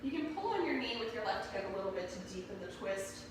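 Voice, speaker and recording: Calm woman with a cold, echoey room. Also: mid-range voice. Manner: calm, slow cueing of poses and breaths. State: has a cold, slightly nasal. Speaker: yoga instructor. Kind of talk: guided yoga class instruction. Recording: echoey room, mic at a distance, audible noise.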